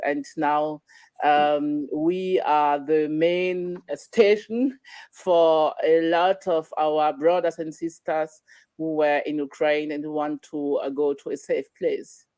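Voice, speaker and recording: A middle-aged woman from Cameroon answering interview questions conversationally, with hesitant, halting phrasing. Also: low-pitched, dark tone, dry recording. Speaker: interview guest, chairwoman of a diaspora association.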